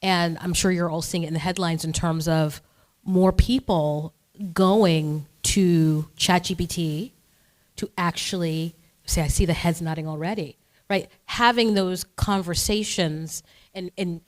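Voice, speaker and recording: A woman's voice: fairly low pitch, steady tone, measured pace. A woman hosting an interview who asks long, enthusiastic, rambling questions. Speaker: interview host. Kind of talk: interview conversation.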